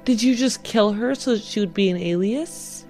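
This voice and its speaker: A woman's voice: medium pitch, moderate pace, darkish tone, faint music behind, natural voice. A woman playing an earnest, polite but insistent spacer woman with firm morals in a tabletop game. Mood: concerned.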